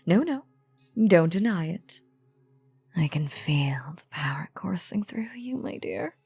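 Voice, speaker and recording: A woman's voice: fairly low pitch, big pitch swings, slow, a little mellow, slightly rough, faint music behind, heavily acted. A woman playing an elegant ancient vampire in a scripted audio drama, teasing and coaxing with theatrical, old-fashioned formality. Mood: sly, insinuating. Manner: theatrical, archaic, velvety formal phrasing.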